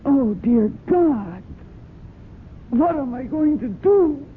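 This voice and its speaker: A woman's voice: high-pitched, lively intonation, slow. Desperate, cornered woman, tinny radio. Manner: earnest, pleading, agitated. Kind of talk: radio drama acting. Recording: noisy, narrow-band old radio broadcast.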